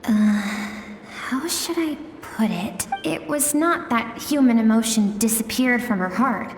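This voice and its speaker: A gentle, mysterious guide telling memories in a video game. Her voice is slightly high, soft and wistful, with deliberate phrasing. It rings with heavy echo over clear music. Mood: reflective. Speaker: narrator and guide. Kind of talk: dramatic storytelling in a video game. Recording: echoing hall reverb with music.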